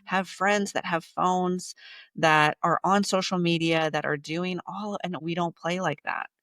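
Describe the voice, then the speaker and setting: A woman's voice: fairly low pitch, steady tone, a little slow, fairly bright tone, no room sound, studio quality. A woman in her thirties, a guest on a podcast, talking bluntly and emphatically and repeating points for effect. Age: 30s. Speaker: podcast guest, business coach. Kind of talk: casual interview talk.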